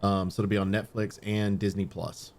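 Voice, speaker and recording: A man's voice: lowish voice, little pitch movement, moderate pace, a little mellow. A man co-hosting a podcast, casually explaining entertainment news to his friends. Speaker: podcast co-host. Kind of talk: casual chat, informal news round-up.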